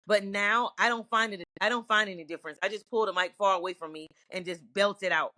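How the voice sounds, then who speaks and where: A woman's voice: medium pitch, steady tone, fast talker, pushed and bright, no room sound. A middle-aged woman singer from Virginia, answering interview questions in a reflective, earnest way with frequent restarts.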